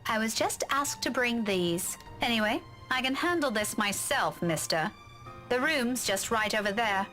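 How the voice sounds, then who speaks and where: An actress playing a sharp-tongued young schoolteacher in a visual novel, irritated, with sarcastic, clipped politeness. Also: female voice, on the high side, animated, measured pace. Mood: curt, sarcastic. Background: game music.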